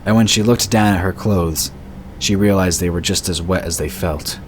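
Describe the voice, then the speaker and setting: A man narrating a fantasy story in a steady, measured storytelling voice. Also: sits low, a little hiss or hum. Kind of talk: scripted audiobook narration.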